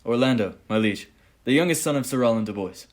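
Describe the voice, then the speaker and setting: An earnest young gallant from a Shakespearean radio play, played by a man. His voice sits at a middle pitch, and he speaks with formal respect and quiet pride. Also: expressive, quick pace, natural voice. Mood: proud.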